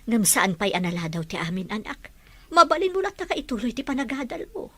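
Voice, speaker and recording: A woman's voice: a little high, sing-song, fairly quick, sharp tone, dry recording, heavily acted. A woman playing a concerned middle-aged mother in an Ilocano radio drama, earnest and coaxing. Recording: music bed under the dialogue.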